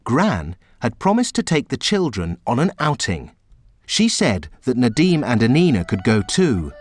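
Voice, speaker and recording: A man reading a children's storybook aloud in a slow, very expressive storytelling way. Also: medium pitch, faint music behind. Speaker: storybook reader. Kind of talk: children's read-aloud narration.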